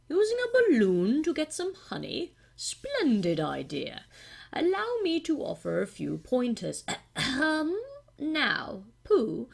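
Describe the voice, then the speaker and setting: A stately, wordy owl acted out by a woman lectures as if in a children's storybook game. The voice is raised and swings widely in pitch, with a pompous, instructive manner. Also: vocal fry, a little mellow, slightly rough, full character voice.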